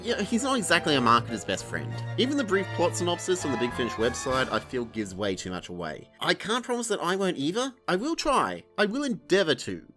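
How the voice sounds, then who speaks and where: Wry Australian man with lively, swinging pitch. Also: brisk, few pauses. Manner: wry, blunt, colloquial. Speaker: video essay reviewer. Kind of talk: scripted review narration with informal asides. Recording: music behind the voice. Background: background music.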